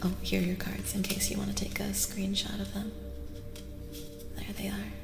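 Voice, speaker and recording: A soft-spoken woman, often near a whisper, hosting a live ASMR tarot reading and chatting with viewers in an unhurried way. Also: mid-range voice, flat delivery, vocal fry. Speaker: streamer host and tarot reader. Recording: reverberant echo with music behind. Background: music.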